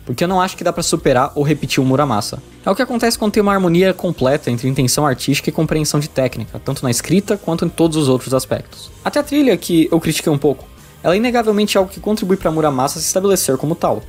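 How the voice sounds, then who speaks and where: A man's voice: a little high, expressive, rapid-fire, a little mellow, husky. A man with a Brazilian accent reading a scripted video game review in an emphatic, opinionated way. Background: background music.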